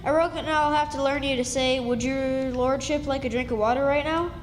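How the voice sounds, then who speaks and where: A woman's voice: high-pitched, fairly even intonation, fairly quick, close to an everyday voice. A woman acting a kindly, naive backwoods boy with rustic, earnest manner, in old-time radio drama style. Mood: earnest, sympathetic. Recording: echoey, noisy hall, distant stage sound.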